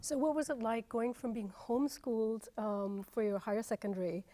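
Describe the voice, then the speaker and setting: A woman's voice: fairly high pitch, fairly even intonation, a little fast, warm tone. A woman interviewing a guest. She asks long, hesitant questions full of "um". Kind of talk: interview questions and a read introduction.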